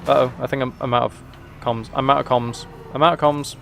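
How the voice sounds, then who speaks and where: A man's voice: mid-range voice, fairly even intonation, fairly quick, gravelly, a bit bright, a little hiss or hum. A man giving casual, playful gameplay commentary, often talking to himself. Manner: playful, talks and sings to himself. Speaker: game streamer.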